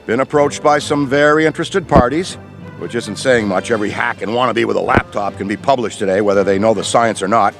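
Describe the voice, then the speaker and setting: An actor playing Ray, an enthusiastic middle-aged veteran ghostbuster who rambles in wordy, professorial speech. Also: male voice, mid-range voice, fairly quick, faint music behind, close to an everyday voice. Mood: lively. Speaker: veteran mentor. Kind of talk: video game cutscene voice acting.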